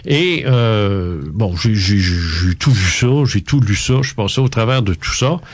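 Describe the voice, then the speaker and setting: A man hosts a radio interview in a studio. His voice is husky and his pitch swings widely, and he talks hesitantly, full of fillers and restarts. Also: lively intonation, unhurried. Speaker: radio host.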